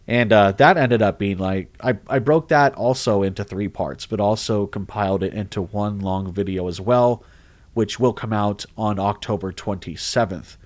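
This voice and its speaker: A man who narrates horror stories, chatting casually on a livestream, rambling and animated, with lots of restarts. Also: fairly low pitch, moderate pace. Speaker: horror story narrator and streamer. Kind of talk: casual livestream chat reading comments.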